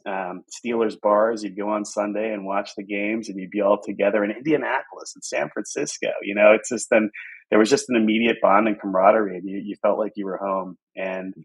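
A man from Pittsburgh in his forties, a podcast guest chatting casually, telling animated stories with frequent restarts and repeated words. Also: on the low side, fairly quick, husky, low-quality recording. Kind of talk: casual conversation.

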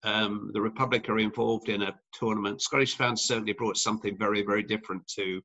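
A man's voice: lowish voice, fairly even intonation, fast, sharp tone, rough recording. A middle-aged man, a sports commentator, giving long, rambling interview answers full of restarts and fillers.

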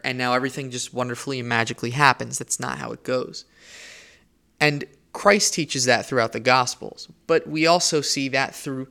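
A young man from Spain talks through a solo faith podcast in an earnest, reflective way. His voice is bright and forceful, with a smooth, resonant clarity. He often restarts and repeats words as he works through his thoughts. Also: mid-range voice. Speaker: podcast host.

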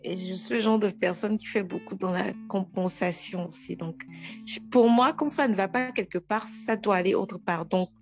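Woman, Togolese French accent, thirties, frank and lively guest, mid-pitched, soft music behind. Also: moderate pace. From Togo. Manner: frank and animated, with frequent restarts. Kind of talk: casual interview.

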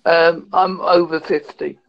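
A man in his fifties talking calmly and thoughtfully on a video call. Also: a little high, gravelly, muffled recording. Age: 50s. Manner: calm, measured, reflective. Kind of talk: casual conversation.

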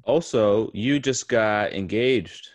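A man's voice: on the low side, slow. A man in his late twenties hosting a casual podcast chat, teasing his friend and swearing freely. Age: 20s. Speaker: podcast host.

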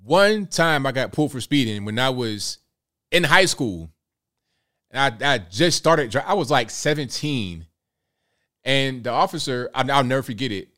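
A man's voice: medium pitch, fairly bright tone. Laid-back man in his late thirties. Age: late 30s. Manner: laid-back, conversational, repeats himself. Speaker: livestream host. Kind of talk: casual talk reading viewer comments.

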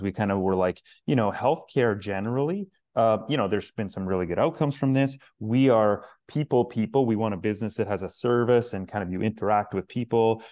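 A man's voice: fairly low pitch, darkish tone. A man guest in an interview, talking casually and rambling with many fillers and restarts. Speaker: searcher guest.

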